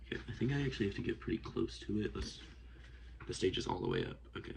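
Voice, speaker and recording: A sniffly, congested young man rambles to himself as he works at a desk, full of hesitations and little asides, often dropping to a hush. His voice sits low and gravelly, but the pitch swings up and down a lot. The recording carries a clear hiss of background noise. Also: wildly swooping pitch, fast. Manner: rambling, self-talking, hesitant with many likes. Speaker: outdoors vlogger. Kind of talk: casual hands-on vlog. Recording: noisy home recording.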